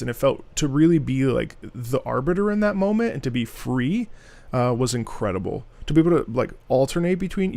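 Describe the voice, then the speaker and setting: A man's voice: mid-range voice, vocal fry, darkish tone. A man hosting a livestream, giving casual, enthusiastic and effusive live commentary as he reacts to game music.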